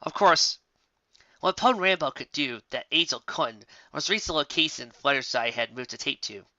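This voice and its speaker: Story narrator with casual asides: bright, forward voice, animated reading, dry and slightly dull home recording. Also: mid-range voice, moderate pace, no room sound. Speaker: narrator reading fan fiction aloud.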